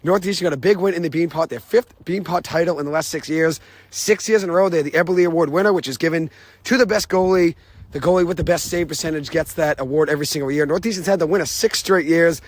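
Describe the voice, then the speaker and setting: Fast-talking young man hosting a sports podcast. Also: a little high. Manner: excited, rapid-fire stat recitation. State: hoarse, says he lost his voice. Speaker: podcast host. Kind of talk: quick unscripted sports recap.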